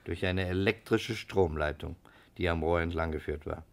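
An actor playing a courteous, shrewd detective who speaks with polite, deliberate probing in a 1960s radio crime drama. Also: male voice, sits low, steady tone, vocal fry. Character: shrewd, courteous male detective quietly cornering a suspect.

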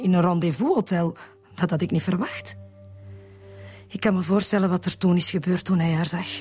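An actress with a Flemish accent playing a lovesick, betrayed woman confessing haltingly to the police in a radio drama. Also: on the low side, a little mellow, lightly acted. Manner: halting, repeats words.

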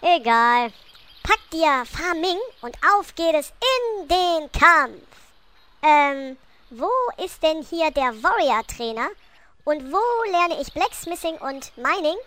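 A woman's voice: high-pitched, expressive, slow, pushed and bright, full character voice. A woman playing a haughty, bossy queen bent on world domination in a parody audio drama. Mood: imperious.

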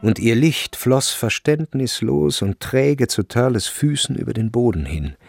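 A man's voice: mid-range voice, expressive, unhurried, vocal fry, music in the background. A man reading scripted literary narration in a radio drama.

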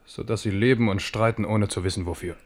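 A man's voice: on the low side, steady tone, brisk, natural voice. An actor playing a proud, sceptical, embattled French king in a German radio play, with pointed, ironic questioning. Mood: probing.

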